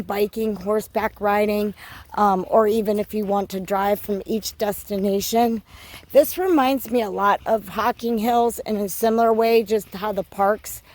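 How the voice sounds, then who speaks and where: A woman with a hoarse voice from a cold, chatting casually as she hikes a wooded trail with her dogs, filling pauses with lots of ums. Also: mid-range voice, darkish tone. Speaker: hiking vlogger. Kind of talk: casual walking vlog.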